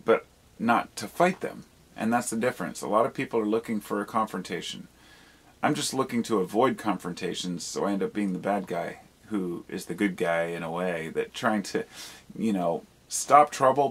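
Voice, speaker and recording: Male vlogger, low-pitched and rough-edged husky voice, candid, reflective, loose conversational rambling with casual swearing. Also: moderate pace, a bit bright. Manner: reflective, self-questioning. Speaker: video blogger. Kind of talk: rambling personal monologue, casual chat.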